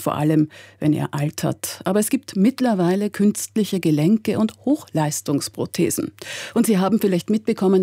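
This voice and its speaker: An Austrian woman presents a scripted radio programme in a dark, soft-edged voice. It is husky and slightly low, recorded in a very dry studio. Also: no echo.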